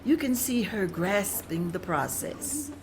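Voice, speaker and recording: A woman's voice: mid-range voice, animated, slow, crowd noise. Woman reading scene directions.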